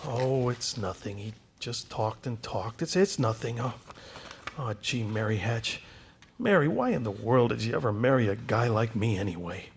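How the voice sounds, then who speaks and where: A man's voice: mid-range voice, animated, normal speed, gravelly, slight background noise. An actor playing an earnest young married man in a radio play, stammering when flustered. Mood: dejected.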